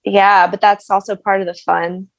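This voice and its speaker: American woman guest telling stories casually, animated, self-deprecating, mid pitch, dry recording. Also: normal speed, no room sound. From United States. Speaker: guest, actress and singer. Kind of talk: casual interview chat.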